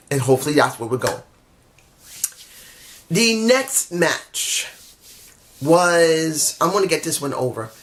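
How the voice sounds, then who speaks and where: A man with a somewhat high voice, hoarse from talking, picks apart a wrestling show in a loose, opinionated way. He rambles and restarts his sentences, honest and a bit frustrated. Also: fairly bright tone. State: losing his voice. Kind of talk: casual opinionated review.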